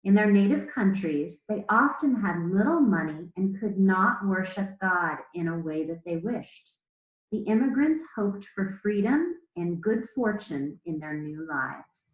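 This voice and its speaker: A woman speaking as an elementary school teacher, reading aloud to children in a measured, explanatory way with pauses between phrases. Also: medium pitch, lively intonation, reverberant, low-quality recording. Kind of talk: read-aloud lesson to children.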